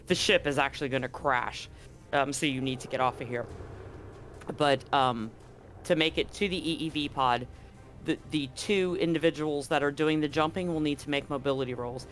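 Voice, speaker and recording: Male game master, higher register, conversational rules talk with dramatic scene narration, faint music underneath. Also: fairly even intonation, normal speed. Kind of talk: running a live tabletop sci-fi roleplaying game, explaining rules and narrating. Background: soft background music.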